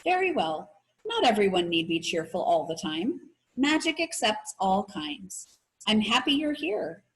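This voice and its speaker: A woman voicing a kindly, whimsical magic shop owner and father from a children's book, theatrical and gently teasing. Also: medium pitch, very animated, measured pace, rough recording.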